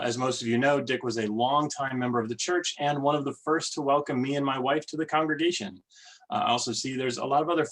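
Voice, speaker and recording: Measured male worship leader. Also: medium pitch, fairly bright tone, low-quality recording. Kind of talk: church service welcome and readings.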